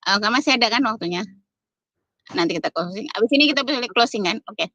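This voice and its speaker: A woman's voice: on the high side, animated, quick pace, bright, forceful tone, no echo, dull audio. A woman in an informal online debate, insistently repeating her point and questions as she argues. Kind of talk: informal spontaneous argument. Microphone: phone on an online call.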